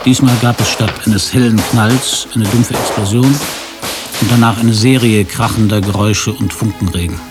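An actor narrating a comic radio play as a detached storyteller, measured and matter-of-fact. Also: male voice, fairly low pitch, fairly even intonation, close to an everyday voice. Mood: detached narration. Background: music.

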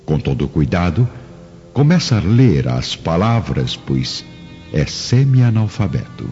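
A male narrator with a Brazilian accent delivers scripted radio drama narration. His voice is deep and dark, on a slightly dull recording with music underneath. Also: sing-song, takes it slowly.

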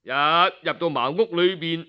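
A man with a Cantonese accent narrating a traditional tale in an expressive, colloquial storyteller's manner. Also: fairly high pitch, a little slow, clear, resonant tone, dull audio.

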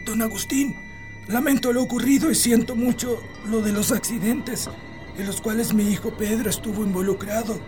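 A man's voice: high-pitched, steady tone, normal speed, darkish tone, faint music behind, natural voice. A man with a Chilean accent playing a humble old farmhand father in a radio drama, meek and apologetic. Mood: pleading, apologetic. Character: humble, pleading old father, loyal farm labourer.

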